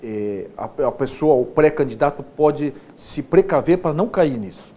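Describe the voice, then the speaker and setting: A Brazilian man hosting a casual interview, phrasing his questions in a halting, repetitive way with frequent checking tags. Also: animated, warm tone. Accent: Brazilian Portuguese. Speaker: interview host, state deputy. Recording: faint rumbling background noise.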